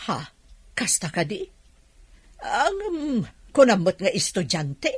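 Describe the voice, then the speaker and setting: A woman's voice: medium pitch, very animated, takes it slowly, slightly rough, dry recording, full character voice. A woman with a Filipino accent playing a scolding, sceptical factory woman in a radio comedy-drama. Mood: scolding, incredulous. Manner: scolding, incredulous.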